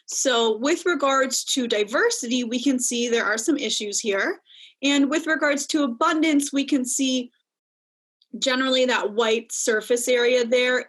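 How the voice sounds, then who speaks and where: Woman clinician, webinar case walkthrough; methodical, explanatory, slightly high voice, video call. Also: fairly bright tone. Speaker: clinician presenter.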